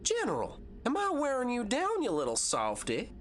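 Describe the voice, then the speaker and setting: A woman's voice: on the high side, wildly swooping pitch, normal speed, a little hiss or hum. A woman playing a playful, cheeky young female soldier in an audio drama. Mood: teasing. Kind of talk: scripted audio drama acting.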